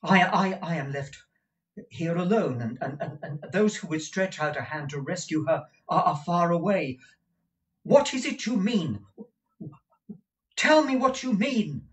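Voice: mid-range voice, animated, unhurried, recorded from a distance, voice pushed up, lightly acted, poor audio. A reader doing an urgent, earnest young man. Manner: urgent, imploring. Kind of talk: audiobook character voice.

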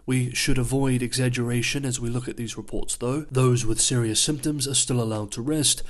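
Man reading news analysis voice-over. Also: mid-range voice. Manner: measured, explanatory delivery. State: losing his voice. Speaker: news channel host.

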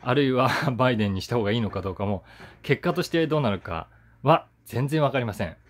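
A man's voice: mid-range voice, expressive, fast talker. A man in his forties chatting casually to camera in a musing, conversational way, stressing the starts of his sentences. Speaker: voice actor hosting his own talk channel.

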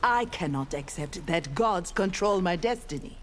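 An actress playing a proud, earnest young armored ninja woman in a video game, speaking in formal, measured, resolute lines. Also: on the low side, lively intonation, lightly acted. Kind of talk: dramatic video game dialogue.

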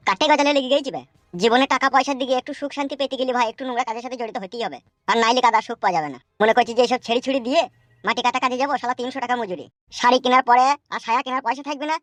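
A man voicing a crude, cocky yet nervous gangster henchman in a comedy cartoon, whining and excitable. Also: very high voice, no room sound. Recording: dry sound with music behind.

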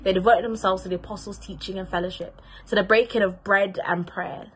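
A young woman reads from scripture in a husky, middle-register voice. She is recorded at home on a phone or laptop. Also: mid-range voice, steady tone, brisk, low-quality recording. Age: young adult. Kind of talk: scripture reading. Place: room at home.

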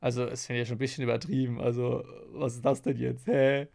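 A man's voice: mid-range voice, flat delivery, fast talker, creaky, a little mellow. A man, giving slangy, incredulous live reactions as a streamer on a close microphone. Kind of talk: casual live reaction commentary. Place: small home streaming room. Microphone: close boom-arm studio microphone.

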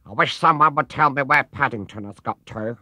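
A man's voice: mid-range voice, brisk, sharp tone, dry recording, voice pushed up. A man voicing a fussing, concerned English housekeeper woman in a children's storybook. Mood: fretful.

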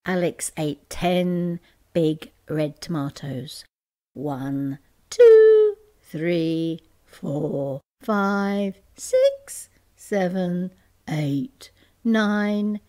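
Woman doing storytime for kids, really slow n animated, playful delivery. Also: fairly low pitch. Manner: expressive, counts out words playfully. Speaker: picture-book reader. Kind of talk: dramatic read-aloud children's storytelling.

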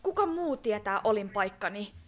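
A woman voicing a wary, determined young sheltered fairy-tale princess in an animated film fan dub, speaking emphatically and deliberately. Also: mid-range voice, close to an everyday voice. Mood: suspicious.

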